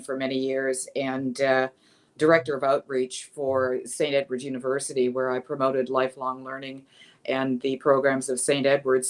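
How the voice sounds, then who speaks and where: A woman in her sixties, a guest author in an interview, speaking thoughtfully and hesitantly with many fillers. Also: rumbling low. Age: 60s. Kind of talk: interview answers.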